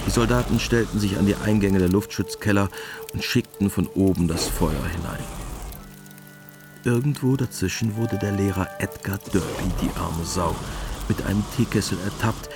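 A man plays a weary war veteran telling his memories in a radio drama. The voice is low and laconic, with a wry resignation, over clear music. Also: normal speed, natural voice. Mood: resigned. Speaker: narrator. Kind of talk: literary radio drama narration.

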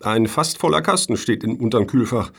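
Man as husky, dry, self-assured official. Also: medium pitch, a little fast. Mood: slyly assured. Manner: dry, matter-of-fact, needling. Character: dry, self-assured male foundation official, slyly unruffled. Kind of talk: satirical radio play dialogue.

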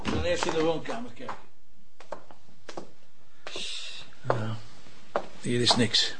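An actor playing a blunt, sardonic police commissioner with a Flemish accent in a naturalistic TV drama. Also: male voice, mid-range voice, normal speed, background noise, lightly acted. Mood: tense, searching.

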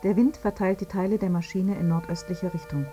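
An actress playing a detached, factual narrator reading out an accident report in a radio drama. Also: female voice, lowish voice, fairly even intonation, fairly quick, dark tone, lo-fi sound, faint music behind, lightly acted. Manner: factual, precise.

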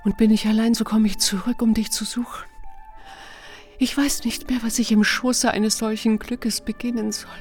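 A woman's voice: fairly high pitch, fairly even intonation, normal speed, natural voice. An actress playing a solemn, passionate woman in love, speaking lyrically and earnestly in a poetic radio drama. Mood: rapturous. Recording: music behind the voice.

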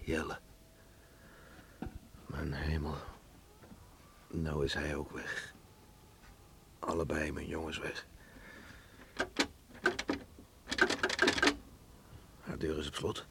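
An actor in a Dutch radio play, playing a stern, stubborn middle-aged farmer father troubled by remorse, brooding and halting. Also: rumbling low, monotone, gravelly, a bit bright, full character voice. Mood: desolate, muttering. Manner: brooding, halting, muttering to himself. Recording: faint background noise on radio recording.

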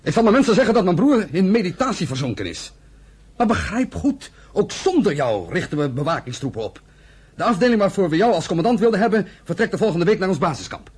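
An actor playing a militant, impatient pioneer settler in a Dutch radio play, arguing forcefully with his brother. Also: high voice, very animated, quick pace, breathy edge, heavily acted. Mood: impatient, scornful. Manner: forceful, argumentative, scornful.

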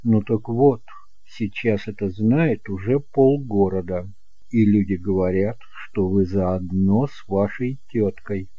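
Мужчина играет строгого, официального господина, который говорит назидательно и с неодобрением, в аудиоспектакле. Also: male voice, lowish voice, takes it slowly, breathy edge, no echo, lo-fi sound, voice pushed down. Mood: stern, reproving. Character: stern, moralizing gentleman, pressing and disapproving. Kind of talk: audiobook drama acting.

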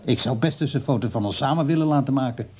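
Radio play, male actor as wistful older man, rough-edged voice, hesitant delivery, defensive streak. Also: medium pitch, fast, mellow, natural voice. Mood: tender, wistful. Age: older, over sixty. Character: lonely old man, wistful, defensive when accused.

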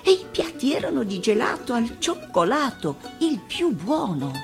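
A woman with a high voice tells a children's fairy tale for radio. Her delivery is theatrical and measured, with lively swings of pitch, and soft music sits underneath. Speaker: actress reading a fairy tale. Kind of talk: expressive children's storytelling for radio.

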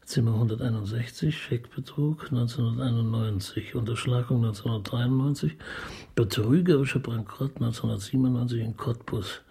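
An actor playing a weary, irritable, cultured police detective chief in a German radio crime comedy, formal and exasperated. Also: male voice, medium pitch, brisk, gravelly, mellow, breathy edge. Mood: businesslike.

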